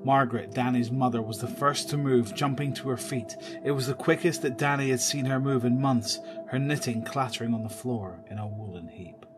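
A man's voice: medium pitch, normal speed, few pauses, over music. A man reading scripted narration for an audio drama.

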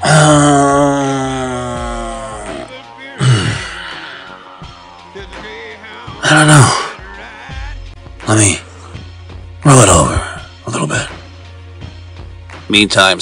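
A man's voice: mid-range voice, fairly bright tone, full character voice. A man playing a drugged, menacing supervillain madman in a comic dub, theatrical and mocking, drawing out words with gleeful hatred. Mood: groggy sardonic. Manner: drawn-out, theatrical, mocking delivery. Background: music.

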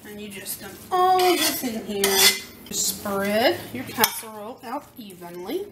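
A woman's voice: medium pitch, big pitch swings, unhurried, heavy background noise. Woman, 30s, casual cooking chat, self-deprecating, echoey kitchen. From American South. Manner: chatty and self-deprecating, talking while working. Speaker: home cooking vlogger. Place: home kitchen, indoors. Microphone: camera mic from across the kitchen. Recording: echoey kitchen, camera mic at a distance. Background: clinking pots, pans and utensils.

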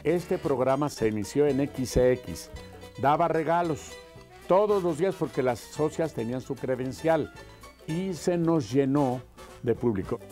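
A man's voice: mid-range voice, a little mellow. An older man recalling his radio days conversationally in an interview. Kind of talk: conversational reminiscence. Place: book-lined study indoors.

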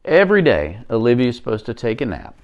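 A man in his forties reading a picture book aloud to young children, expressively and with deliberate pauses. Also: medium pitch, wildly swooping pitch, muffled recording.

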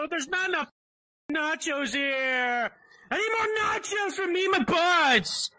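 Un uomo che interpreta un angelo capo rozzo e sbraitante. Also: male voice, very high voice, lively intonation, very slow, no room sound, pitched above the performer's own voice, exaggerated voice. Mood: ranting. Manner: crude, boastful, shouty. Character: brash boorish male angel leader, crude and loud. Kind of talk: VRChat comedy roleplay.

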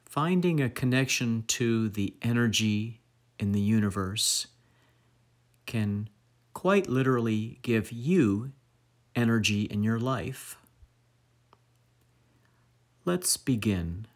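Slow-paced man guiding a meditation. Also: on the low side, expressive. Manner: slow, measured phrases with long pauses. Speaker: guided meditation instructor. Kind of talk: guided meditation narration.

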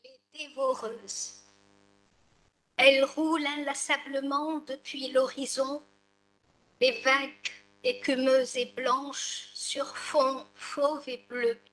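A woman in her sixties reciting her poem in a measured, solemn way into a table microphone. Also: high-pitched, level tone, fairly bright tone, low-quality recording. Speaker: poet. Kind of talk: poetry recitation. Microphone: table gooseneck microphone. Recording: faint room noise, hum.

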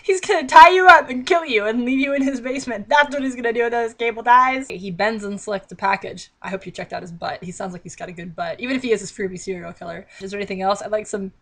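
Sarcastic young woman with a higher-pitched voice. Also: fast, hardly any pauses, a bit bright. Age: young adult. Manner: sarcastic, exasperated, theatrical. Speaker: vlogger reading a book aloud with commentary. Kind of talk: mocking read-aloud with sarcastic running commentary. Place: small indoor bedroom.

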